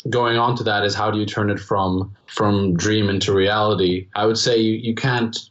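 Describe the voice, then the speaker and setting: A man's voice: lowish voice, steady tone, moderate pace, dull audio. A young man from the Czech Republic, a native Czech speaker with a strongly Americanized international-school accent, answering interview questions in a casual, rambling way with many restarts. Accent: international-school American English. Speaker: guest musician.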